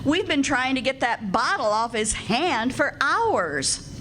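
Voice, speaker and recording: Lady acting patient dry radio comedy wife, slow talking, very lively pitch, chiding. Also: heavily acted. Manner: dry, soothing, gently chiding. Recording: noisy live stage recording.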